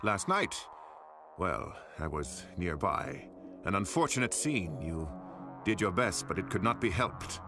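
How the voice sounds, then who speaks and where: A low-pitched older man, speaks as a grave mentor in a video game. His delivery is measured and ominous, with loud music playing underneath. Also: very animated, exaggerated voice. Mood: grave, calm. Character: older grave male family friend, urgent mentor.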